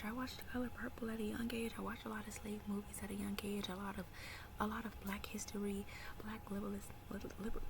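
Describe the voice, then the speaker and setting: A young woman in her early twenties speaks mostly in a hushed whisper, soft-spoken and confiding. Her voice carries a gravelly fry. A clear hiss of background noise sits under her words. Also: medium pitch. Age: early 20s. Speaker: vlogger. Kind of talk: personal faith story to camera.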